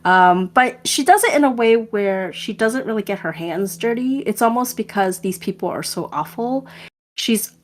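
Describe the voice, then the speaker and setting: A woman's voice: mid-range voice, lively intonation, normal speed. A woman co-hosting a podcast, chatting casually and rambling a little with fillers and restarts. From Hong Kong. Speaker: podcast co-host.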